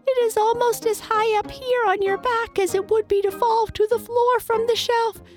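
Very high, squeaky little toy mouse. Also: female voice, fairly even intonation, normal speed, faint music behind. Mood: frightened, pleading. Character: tiny timid female toy mouse, anxious and squeaky. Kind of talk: children's storybook character voice.

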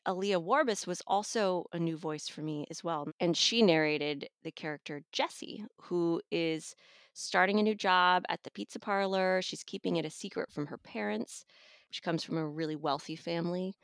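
Woman guest doing a casual review chat, kinda low pitch, no room echo. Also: normal speed, clean studio sound. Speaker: audiobook reviewer and podcast guest.

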